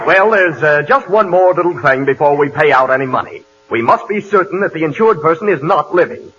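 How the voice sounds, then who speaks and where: A man playing a stiff, officious insurance man in 1940s radio comedy, formal and deadpan, on a muffled old broadcast with an audience. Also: fairly high pitch, fairly quick, a little hiss or hum.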